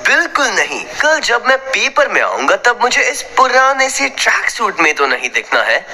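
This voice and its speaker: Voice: mid-range voice, very animated, normal speed, bright, forceful tone, exaggerated voice. An actor playing a cocky, boastful schoolboy racer in a cartoon, with a brash, showy delivery. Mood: smug. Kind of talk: Hindi cartoon dub acting.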